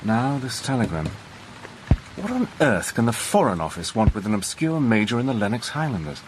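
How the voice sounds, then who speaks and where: An actor playing a resolute army major who narrates and speaks in a brisk, soldierly way. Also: male voice, medium pitch, very animated, noisy background, natural voice. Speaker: narrator and lead. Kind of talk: first-person dramatic narration.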